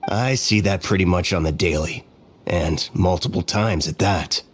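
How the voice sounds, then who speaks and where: A man's voice: sits low, normal speed, a little hiss or hum, natural voice. A man voicing an evasive merchant giving offhand answers, in video game dialogue.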